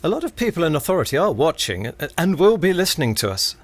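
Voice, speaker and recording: Male actor as cautious, long-suffering 1920s British wireless engineer: stiff, dour, slightly high voice with animated intonation, period radio drama. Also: fast, no room sound. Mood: worried, cautious. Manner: stiff, grumbling, proper. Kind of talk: period radio play acting.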